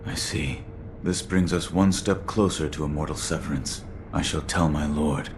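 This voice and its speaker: A loyal, stoic ninja from a video game. The voice sits very deep and rough, and the delivery is clipped and solemn. A faint noise lies behind it. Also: male voice, fairly even intonation, normal speed. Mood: solemn, restrained. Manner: terse, restrained, solemn. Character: stoic loyal adult male shinobi serving a young lord. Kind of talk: video game cutscene acting.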